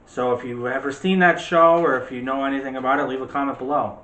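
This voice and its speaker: A man's voice: medium pitch, fairly even intonation, fast talker, a bit bright. A man hosting a movie discussion show in a casual, chatty and opinionated way. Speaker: host of a movie review show. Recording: echoey room.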